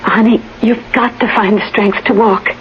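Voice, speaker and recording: A woman's voice: a little high, steady tone, normal speed, natural voice. A woman playing a scheming, jealous villain who poses as a caring friend, in melodramatic 1980s radio drama style. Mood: hushed coaxing. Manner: coaxing endearments, melodramatic. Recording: dull, noisy old radio recording.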